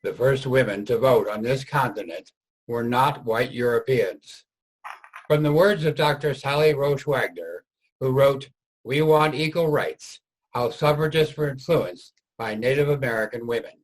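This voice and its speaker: Husky male narrator. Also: mid-range voice, measured pace, poor audio. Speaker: narrator and writer of the play. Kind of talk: scripted historical narration.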